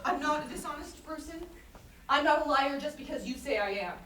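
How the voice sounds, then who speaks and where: Actress as anxious, bullied woman, very high and tense, repeating herself; distant theater mic. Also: lively intonation, a little fast, a bit bright. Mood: hurt, accusing. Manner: stammering, repeating herself, pleading. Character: distraught, bullied woman, anxious and lost, resisting. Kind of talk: staged play reading before a live audience. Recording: echoey stage from far off, audience noise.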